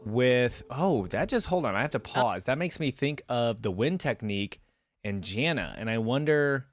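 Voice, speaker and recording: A man's voice: mid-range voice, expressive, normal speed, no room sound, studio quality. A man giving informal reaction commentary as host, thinking aloud in a casual way.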